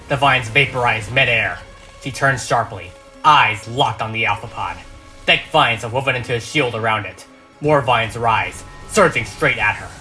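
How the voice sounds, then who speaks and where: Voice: mid-range voice, sharp tone. A narrator reading a script aloud in a dramatic, emphatic, theatrical style.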